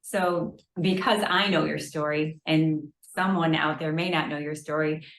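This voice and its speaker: A woman's voice: low-pitched, steady tone, measured pace, fairly bright tone, slight background noise. Direct woman host in an echoey room. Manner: direct, probing questions. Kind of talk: conversational interview. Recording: roomy echo.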